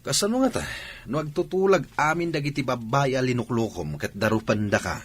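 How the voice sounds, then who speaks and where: An actor with a Filipino accent playing a level-headed, brooding soldier who gives advice in a radio drama. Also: male voice, medium pitch, animated, brisk, slightly rough. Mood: concerned. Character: level-headed soldier, brooding and quietly advising his comrades. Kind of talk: Ilocano radio drama acting.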